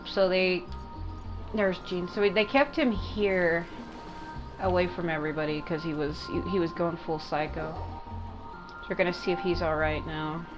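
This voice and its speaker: Gamer lady w a slightly low voice, chatting and explaining stuff, rambly, game music playing behind her. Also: normal speed, rough recording. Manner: rambling, explanatory, repeats and rephrases. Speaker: gaming content creator. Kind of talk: casual gameplay commentary. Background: video game music.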